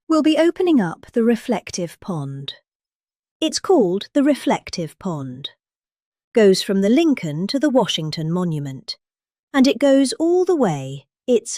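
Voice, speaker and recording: A middle-aged British woman giving a calm, expressive dramatic reading of a rambling speech. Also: a little high, no echo, studio quality. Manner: expressive, calmly measured delivery.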